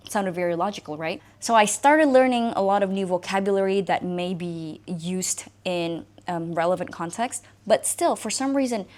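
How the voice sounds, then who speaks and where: A woman's voice: mid-range voice, normal speed, few pauses, darkish tone. A woman in her thirties from Indonesia, whose first language is Indonesian, speaking as an English coach who tells her own story to the camera in a reflective, conversational way. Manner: conversational, reflective, frequent "you know" and "like". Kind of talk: personal talk to camera.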